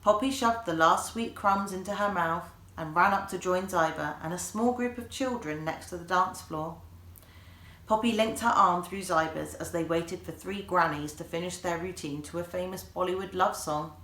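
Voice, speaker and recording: A woman reading a children's story aloud in an animated way, like an author presenting the book. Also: fairly low pitch, moderate pace. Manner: animated, acts out dialogue. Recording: echoey room.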